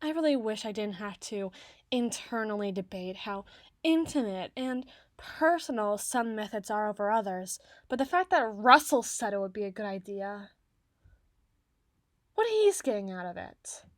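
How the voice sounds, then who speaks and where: A woman's voice: mid-range voice, big pitch swings, normal speed, dry recording, studio quality, close to an everyday voice. A woman playing a flustered, haughty teenage tsundere girl in an ASMR roleplay, bookish and socially awkward, with formal, wordy diction. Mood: flustered musing.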